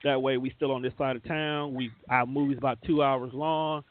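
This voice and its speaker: A man's voice: mid-range voice, fairly even intonation, normal speed, slight background noise. A man hosting a casual radio show, talking informally with plenty of slang. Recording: narrow, phone-like sound.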